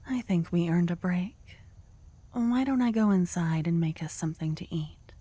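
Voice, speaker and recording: A doting, possessive girlfriend, voiced by a woman in an ASMR roleplay, speaking in a soft, breathy whisper. Her tone is warm and mellow, and she speaks slowly and intimately, close to the ear. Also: medium pitch, full character voice. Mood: tender whisper.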